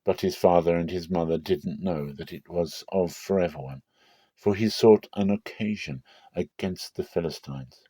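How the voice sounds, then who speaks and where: A man narrating a dramatic reading of scripture in a solemn, deliberate and expressive storytelling style. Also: low-pitched, breathy edge, no room sound.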